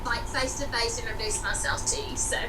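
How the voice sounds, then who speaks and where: A woman's voice: a little high, fairly even intonation, brisk, reverberant. A young woman with a Southern US accent, chatting and telling stories in a casual personal introduction. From small town in South Carolina, USA. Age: young adult. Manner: chatty, storytelling. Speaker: actress. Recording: noisy, distant microphone.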